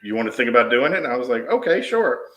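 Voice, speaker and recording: Bright-toned man in his forties. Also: mid-range voice, very animated, rapid-fire, muffled recording. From US East Coast. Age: 40s. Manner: rambling with frequent restarts. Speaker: podcast guest, dispatch shift supervisor. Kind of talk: casual interview storytelling.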